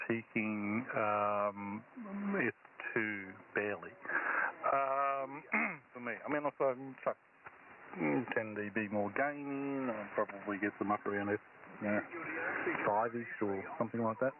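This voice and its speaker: A man talking informally and technically as a radio ham over a radio link, halting as he thinks aloud. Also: medium pitch, steady tone. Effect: shortwave radio transmission. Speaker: amateur radio operator. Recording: thin narrowband radio audio, clear static.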